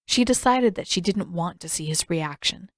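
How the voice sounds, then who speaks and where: A woman's voice: lowish voice, expressive, moderate pace, no room sound, clean studio sound. A woman reading scripted fiction as an audiobook narrator.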